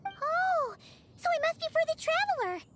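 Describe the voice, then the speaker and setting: A woman voicing a small, excitable fairy companion with a squeaky childlike voice and a chirpy, inquisitive way of talking, in a video game. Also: normal speed, soft background music, full character voice. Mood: curious, surprised.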